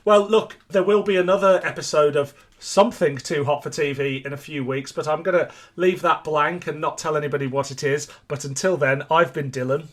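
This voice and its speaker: A man's voice: on the high side, steady tone, brisk, few pauses. A man hosting a podcast in an informal, chatty, conversational way. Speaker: podcast host. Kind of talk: casual chat.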